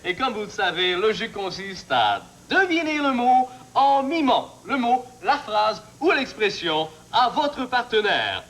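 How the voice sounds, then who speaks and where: A man's voice: high voice, very animated, a little slow, hardly any pauses, a bit bright, heavily acted. A man playing a cheerful, polite game show host, with a Quebec French accent and a polished, ceremonious delivery. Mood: cheerful, formal.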